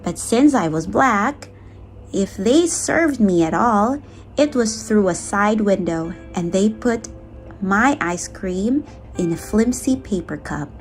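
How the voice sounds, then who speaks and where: Woman, children's read-aloud narration, slow pace, expressive delivery, soft music behind. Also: medium pitch. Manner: expressive, acts out the dialogue. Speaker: storybook reader.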